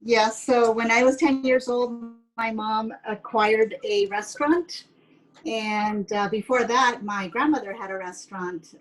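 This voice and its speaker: A woman's voice: mid-range voice, poor audio. A woman in her fifties from San Jose speaks as an interview guest with a mid-range voice. She explains things in a chatty, casual storytelling style. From San Jose, United States. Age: 50s. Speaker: interview guest, educator and former pastry chef.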